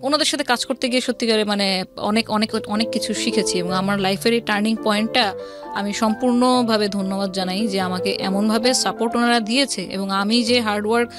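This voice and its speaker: A woman's voice: medium pitch, steady tone, fairly quick, over music. A woman with a Bangladeshi accent telling her story earnestly as an interview guest, stressing by repetition and mixing in English words. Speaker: interview guest, event planner.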